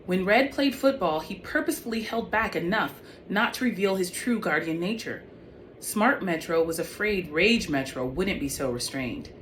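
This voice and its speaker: A woman with a fairly low voice reads as an author presenting her own fiction. She speaks in an audiobook style, and there is some faint noise in the recording. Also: lively intonation, normal speed, a bit bright. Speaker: author reading own book. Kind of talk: audiobook-style reading of young adult fiction. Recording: clearly noisy background.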